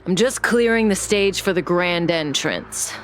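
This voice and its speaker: A woman's voice: lowish voice, lively intonation, unhurried, soft background music. An actress playing a sly, playful, commanding woman in an anime game, teasing and self-assured. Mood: teasing, smug. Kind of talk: dramatic anime game voice acting.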